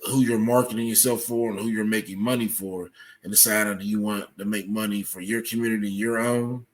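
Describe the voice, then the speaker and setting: Podcast guest guy, lowish voice, explaining stuff kinda halting and measured, researcher type. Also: fairly even intonation. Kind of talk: explanatory interview talk.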